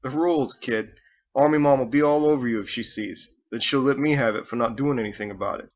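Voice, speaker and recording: A man voicing a brash, excitable young rifleman in an audiobook story. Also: medium pitch, brisk, lo-fi sound, lightly acted. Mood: teasing. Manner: loud, jeering, excitable. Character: brash, teasing young male rifleman on patrol.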